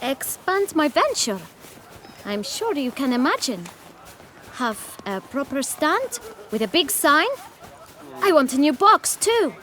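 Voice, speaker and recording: Very high-voiced, cheeky boy. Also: big pitch swings, slow, pauses often, bright, forceful tone, slight background noise, heavily acted. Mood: eager, bargaining. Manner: glib, mock-formal salesmanship. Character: cheeky, enterprising young street bootblack boy. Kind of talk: video game voice acting.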